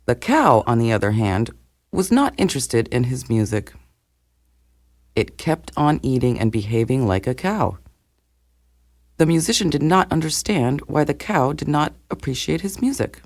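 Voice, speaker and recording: A man narrating scripted folktales for learners in a clearly phrased storytelling way. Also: mid-range voice, big pitch swings, normal speed, no echo.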